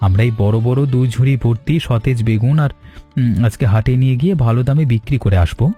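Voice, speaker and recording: A man's voice: medium pitch, fast, warm tone, soft background music, natural voice. A man voicing a dutiful young son-in-law in a village cartoon story, respectful, earnest and reassuring.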